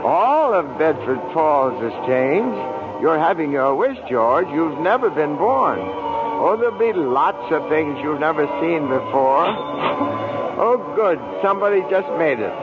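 A muffled vintage radio drama recording carries a gentle, very old guardian angel. He is innocent and courtly, speaking slowly with a pitch that swoops up and down. His earnestness is quaint and kindly. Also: big pitch swings, big-room sound, loud music behind the voice. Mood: cheery.

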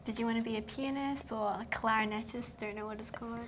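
A woman hosting a podcast, asking questions casually and encouraging her guest with quick affirmations. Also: on the high side, steady tone. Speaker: podcast host. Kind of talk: casual interview chat.

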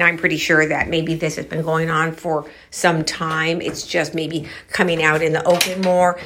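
A woman's voice: on the low side, fairly bright tone. A woman host telling strange stories to her viewers in a casual, musing, conversational way, taking her time. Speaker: host of a paranormal storytelling channel.

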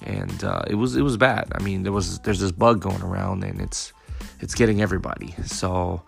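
A man in his thirties hosting a casual solo podcast, his voice still raspy from a recent cold, rambling with lots of fillers and restarts. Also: low voice, steady tone, normal speed, faint music behind. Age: 30s. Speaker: podcast host.

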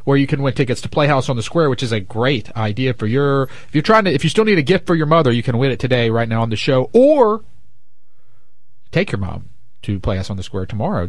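A man's voice: medium pitch, dry recording. A man hosting a sports radio show, chatting casually and joking, with a stuffed-up nose. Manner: animated, jokey. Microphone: studio microphone.

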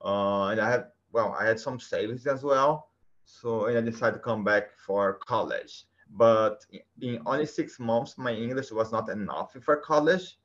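A man from Brazil with a Brazilian Portuguese accent, answering interview questions in a halting way with many fillers. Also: on the low side, a bit bright. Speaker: guest. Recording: dull lo-fi sound.

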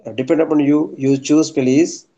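A man's voice: fairly high pitch, fairly even intonation, extremely slow, dark tone, muffled recording, a little hiss or hum. A man from Sindh with a Pakistani accent, chatting casually and politely, often repeating his phrases. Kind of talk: casual chat with a new acquaintance.

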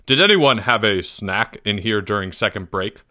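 A man's voice: on the low side, expressive, moderate pace, pushed and bright, no room sound, clean studio sound, voice pushed down. A man voicing a stern, suspicious schoolteacher in a story, clipped and authoritative. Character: stern, suspicious male schoolteacher, easily exasperated.

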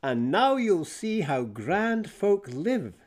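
A man's voice: high-pitched, sing-song, slow, voice pushed up, heavily acted. A man voicing a snooty, boastful female city mouse in a storybook verse, with a posh, affected, manner of speech.

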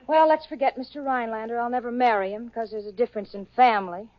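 Dry-witted young secretary, played by an actress. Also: female voice, a little high, steady tone, fast, natural voice. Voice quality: dry, sardonic wit. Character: level-headed, wry young secretary. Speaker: co-lead and narrator in radio sitcom. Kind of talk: 1940s radio sitcom acting. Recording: dull old radio broadcast, audience laughter.

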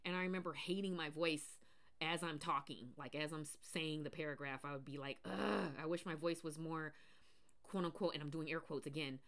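Woman podcast host, naturally deep low voice, rambling casual monologue with fillers. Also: steady tone, normal speed, a bit bright. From Pacific Northwest, US. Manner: rambling, many fillers and restarts.

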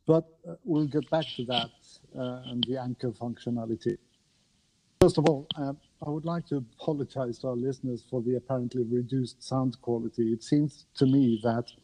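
Gravelly, mellow male podcast host. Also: mid-range voice, a little hiss or hum, rough recording. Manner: courteous, formal wording, halting. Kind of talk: conversational podcast hosting. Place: remote conversation over a podcast app on a mobile. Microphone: mobile phone.

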